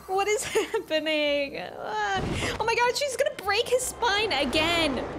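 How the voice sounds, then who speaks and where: A woman with a Midwestern American accent chatting casually and excitably with viewers as she streams a game. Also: very high voice, a little slow, a little hiss or hum. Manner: chatty, excitable, laughs easily. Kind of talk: casual livestream chat with viewers.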